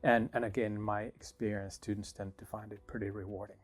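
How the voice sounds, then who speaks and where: A middle-aged man from Finland, a professor answering interview questions in a thoughtful, measured way with small restarts. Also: on the low side, level tone, music in the background. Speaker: political science professor.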